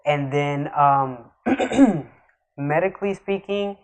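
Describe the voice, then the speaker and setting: A man's voice: fairly high pitch, animated, slow pace. A man from Atlanta introducing himself loosely and hesitantly. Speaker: panel guest.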